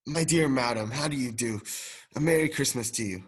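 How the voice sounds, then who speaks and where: A man's voice: mid-range voice, brisk, breathy edge, close to an everyday voice. A male drama student playing an elderly reformed miser, joyful and repentant, in a radio play. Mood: jovial, grateful.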